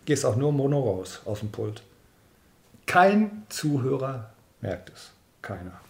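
A man's voice: medium pitch, very animated, slow pace, vocal fry, a little mellow, a little hiss or hum. A man from Dortmund speaking casually and colloquially as a sound engineer explaining his work in an interview. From Dortmund, Germany. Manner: colloquial, acts out quoted dialogue. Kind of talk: casual expert chat.

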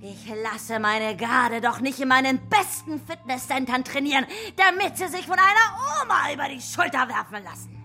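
A woman's voice: high voice, expressive, normal speed, few pauses, pushed and bright, soft background music, full character voice. An actress playing a hot-tempered, imperious woman villain boss in a children's radio play, scornful and ranting. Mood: furious, scornful.

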